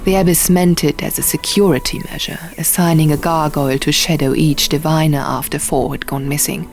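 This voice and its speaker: A woman's voice: low voice, steady tone, fairly quick, a little mellow. A woman reading scripted first-person fantasy fiction as an audiobook narrator. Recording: music behind. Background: music.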